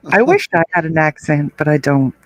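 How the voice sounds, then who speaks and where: A woman's voice: sits low, sing-song, measured pace, dry recording, poor audio. Giggly woman in dry voice chat. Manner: giggly, easygoing. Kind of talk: casual group voice chat.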